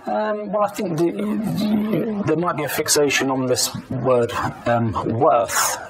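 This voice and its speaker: A British man answering interview questions in a measured, halting way, repeating words, his voice pitch-altered to disguise it. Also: fairly even intonation, poor audio. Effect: pitch-shifted disguised voice. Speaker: anonymous professional footballer being interviewed.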